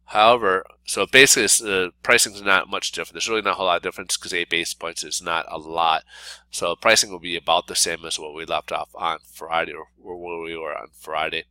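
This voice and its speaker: A man's voice: deep voice, flat delivery, fast talker, bright, forceful tone, smooth, no echo. A man with a cold and a congested voice, giving a casual daily market report with lots of fillers and restarts. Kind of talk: unscripted solo market commentary over slides.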